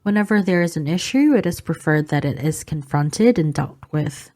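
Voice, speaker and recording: A low-voiced woman from the Philippines, Filipino her first language, speaking in a calm, informative vlog manner. Her tone is mellow and warm, and she pauses on little "um" fillers as she explains. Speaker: vlogger. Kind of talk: explaining culture to camera.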